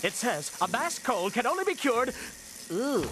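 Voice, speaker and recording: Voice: medium pitch, big pitch swings, a little slow, rough recording. An actor voicing a manic, wisecracking cartoon trickster with theatrical, exclamatory delivery. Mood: zany. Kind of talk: animated cartoon acting. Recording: cartoon clip with very loud music.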